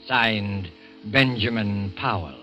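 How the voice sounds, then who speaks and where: A man's voice: lowish voice, takes it slowly, loud music behind the voice, voice pushed down. A male radio narrator voicing a rich, strange man's last will in a formal, solemn, declamatory way. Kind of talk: 1940s radio acting. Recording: old radio recording.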